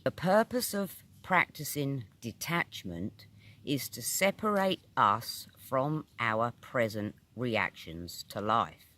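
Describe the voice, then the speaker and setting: A British man narrates a written teaching at a slow, deliberate pace. His pitch moves expressively up and down through each thought. He pauses between short phrases and sounds instructive throughout. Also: fairly bright tone. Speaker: audiobook reader. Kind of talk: scripted narration of a metaphysical article.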